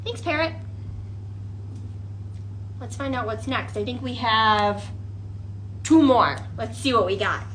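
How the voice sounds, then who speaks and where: Bright, high-voiced woman leading children's storytime. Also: slow, pauses often, a little hiss or hum, poor audio. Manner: animated, exaggerated wonder, coaxing questions for young children. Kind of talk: interactive children's storytime with puppets and singalong.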